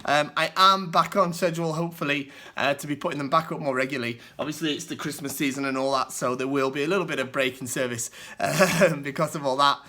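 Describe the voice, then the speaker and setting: A man hosting a casual solo video, answering viewer questions. His voice is hoarse from a cold, and he explains things at length with many asides. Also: a little high, steady tone, fast talker, few pauses, sharp tone. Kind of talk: casual solo vlog talk.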